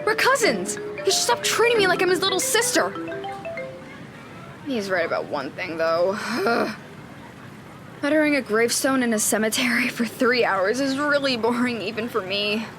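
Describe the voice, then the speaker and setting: A woman's voice: a little high, moderate pace, long pauses, over music. A woman playing a stubborn, self-important teenage girl who investigates the paranormal in an audio drama. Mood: grumbling, bored. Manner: self-important, expressive, exasperated.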